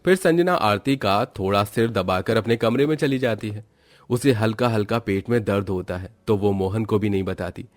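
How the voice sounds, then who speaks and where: A man's voice: on the low side, normal speed, no echo. A man narrating a scripted family story as a storyteller.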